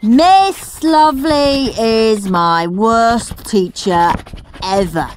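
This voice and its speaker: Gloating, mischievous boy, acted by a woman, swooping pitch. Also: a little hiss or hum, full character voice. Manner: drawn-out, gloating, emphatic. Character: mischievous, scheming, gleefully rude young boy.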